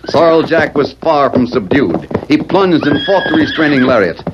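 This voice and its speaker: A man's voice: on the high side, animated, normal speed. A man narrating a 1940s radio western in a formal, dramatic storytelling style. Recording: noisy, muffled 1940s radio recording.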